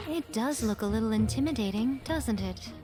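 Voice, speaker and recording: A woman voicing a composed fox shrine maiden in a video game, poised, gently teasing and secretly tender. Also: a little high, lively intonation, normal speed, natural voice. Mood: gentle, reassuring. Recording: game music behind the voice.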